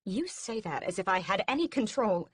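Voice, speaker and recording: A woman's voice: medium pitch, sing-song, normal speed, husky, no room sound, clean studio sound, voice pushed up. Woman voicing a regal, mocking vampire queen. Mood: haughty. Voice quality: cool, regal, mocking drawl. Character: haughty, scheming vampire queen mother, centuries old. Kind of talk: audiobook character voice.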